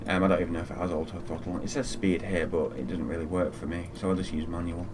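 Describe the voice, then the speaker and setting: A man with a very low voice talks casually as he streams a flight simulator. He is low-key, often muttering through procedures to himself, and clear noise runs behind his speech. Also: steady tone, quick pace. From Fiji. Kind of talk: casual live gaming commentary.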